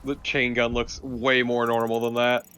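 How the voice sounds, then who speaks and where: A man's voice: medium pitch, monotone, normal speed, pushed and bright, soft background music. A man co-commentating on a let's play with casual banter, wry, teasing and sweary. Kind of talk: casual banter over gameplay.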